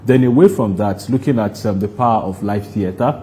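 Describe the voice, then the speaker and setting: A man with a Nigerian accent answering interview questions in a deliberate, halting way, explaining things at length. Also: on the low side, warm tone, a little hiss or hum. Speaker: guest, stage play producer.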